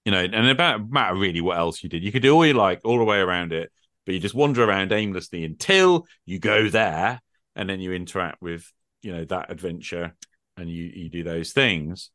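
A middle-aged man with a low voice talks through his ideas in a casual, explanatory way. He often checks in with little tag questions, and the sound is dry and close. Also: animated, brisk, a bit bright, no echo. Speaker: podcast host and game master. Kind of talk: extended casual discussion of tabletop gaming.

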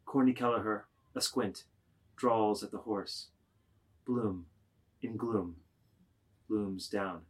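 A man with a low voice serves as narrator in a group reading of a literary work. He delivers stage directions as scripted narration. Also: takes it slowly, pauses often, breathy edge.

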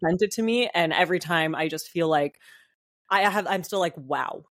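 A woman's voice: fairly low pitch, normal speed, fairly bright tone, no echo, clean studio sound. A woman hosting an interview podcast, enthusiastic and affirming, with lots of fillers. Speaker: podcast host. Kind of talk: casual interview chat.

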